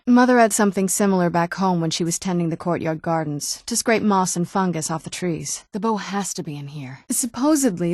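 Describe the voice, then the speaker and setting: A woman's voice: mid-range voice, a little mellow, no echo, clean studio sound, lightly acted. A woman playing a young, guarded vampire noblewoman in a video game, with a wry, earnest delivery. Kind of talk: video game character dialogue.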